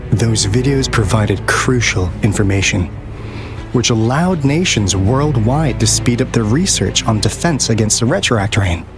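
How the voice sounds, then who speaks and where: A man playing a composed field researcher in a video game, explaining things in a measured way. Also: medium pitch, lively intonation, over music. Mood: serious, informative. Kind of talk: video game story dialogue.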